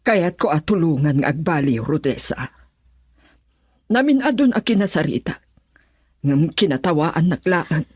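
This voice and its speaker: A wronged, pleading mother from a radio drama. Her Filipino-accented voice is husky and moves with strong swings of pitch, earnest and emotional, recorded dry. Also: expressive, a little slow, pauses often, a little mellow, no echo, heavily acted. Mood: hurt, pleading.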